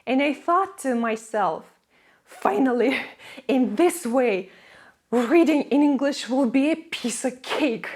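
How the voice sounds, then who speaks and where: A woman's voice: high voice, expressive, takes it slowly, darkish tone. A young woman whose first language is Russian, giving a scripted English lesson to camera with friendly, playful asides. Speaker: English teacher.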